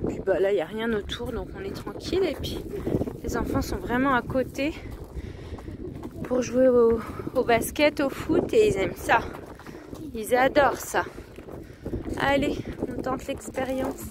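A woman's voice: fairly high pitch, unhurried, long pauses, very noisy. Chatty woman vlogging from a camper. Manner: chatty, cheerful running commentary. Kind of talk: casual travel vlog narration. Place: outdoors and inside a camper van. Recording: music playing underneath.

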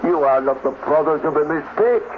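A man acting a flattering, eager-to-please robot with florid, deferential speech in a 1950s radio drama. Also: on the high side, normal speed, close to an everyday voice. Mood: gushing. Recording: noisy, muffled 1950s radio recording.

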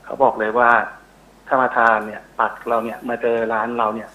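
Middle aged guy expert on a phone interview, sharp bright voice, explaining stuff, bit hesitant. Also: medium pitch, level tone, brisk, poor audio. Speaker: interview guest, university researcher. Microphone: telephone call.

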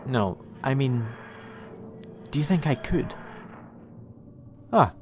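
A man's voice: mid-range voice, animated, slow pace, big-room sound, slight background noise. A young man playing a cheeky, eccentric time-travelling hero in a science fiction audio drama. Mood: earnest. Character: cheeky, eccentric heroic male time traveller, bold wanderer.

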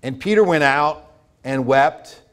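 A middle-aged American pastor delivers a sermon in a high-pitched voice. He is emphatic, leaning on repetition and rhetorical questions to drive each point home. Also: animated, takes it slowly, background noise. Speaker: pastor preaching. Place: church sanctuary, at a pulpit before a congregation.

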